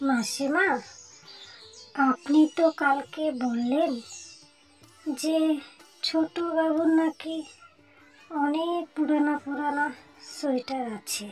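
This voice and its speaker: A woman acts out a poor village mother in a melodramatic cartoon. Her voice is strikingly high and her words come extremely slowly, hesitant and pleading, over faint music. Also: fairly even intonation. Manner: hesitant, pleading, drawn-out delivery. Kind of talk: slow melodramatic cartoon dialogue.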